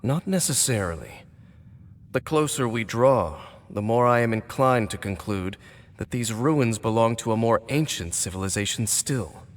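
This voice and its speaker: An actor playing a calm, solemn ancient knight in a video game, speaking in a measured, composed way. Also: male voice, mid-range voice, long reverb tail, lightly acted. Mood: grave. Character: grave ancient male knight, calm and knowing.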